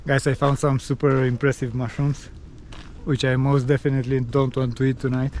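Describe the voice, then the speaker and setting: Mellow-voiced man vlogging outdoors. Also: medium pitch, level tone, moderate pace, faint music behind. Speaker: travel vlogger. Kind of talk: casual outdoor vlog talk to camera. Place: outdoors by a forest.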